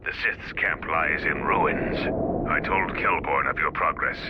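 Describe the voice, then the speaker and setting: Very deep-voiced gruff squad officer. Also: male voice, steady tone, unhurried, heavy background noise. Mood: businesslike, approving. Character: gruff, businesslike male warrior squad officer. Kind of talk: scripted game dialogue, mission briefing.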